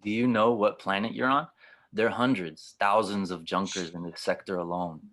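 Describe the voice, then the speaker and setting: A man playing a gruff, cynical cyborg spaceship skipper who talks wryly and probingly in an audio drama table read. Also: fairly low pitch, fairly even intonation, normal speed, fairly bright tone, natural voice. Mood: sly, pressing.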